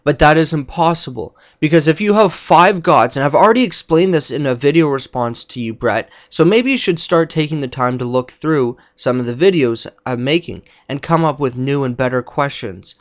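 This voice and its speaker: A man with a fairly high voice delivers off-the-cuff religious commentary. He lectures and argues his points, recorded with muffled, lo-fi sound. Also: moderate pace, hardly any pauses. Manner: didactic, argumentative. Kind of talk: unscripted spoken commentary on religion.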